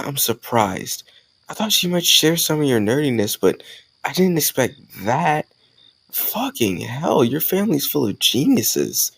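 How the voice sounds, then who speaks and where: A man playing an easygoing male college student who chats casually and teases gently in an intimate romantic audio roleplay. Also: mid-range voice, breathy edge, dry recording, full character voice. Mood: surprised, curious. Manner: casual, conversational, gently teasing.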